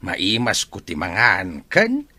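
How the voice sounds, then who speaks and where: A man's voice: deep voice, huge pitch swings, slow pace, sharp tone, dry recording, full character voice. A man with an Ilocano accent playing a jolly, wheedling old grandfather fond of drink in a radio drama. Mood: jovial, wheedling. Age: older.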